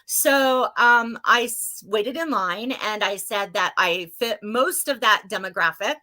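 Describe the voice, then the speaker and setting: A woman's voice: mid-range voice, unhurried, bright, forceful tone. An older woman telling her story as a guest over a video call, earnest and hesitant. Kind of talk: casual video-call interview.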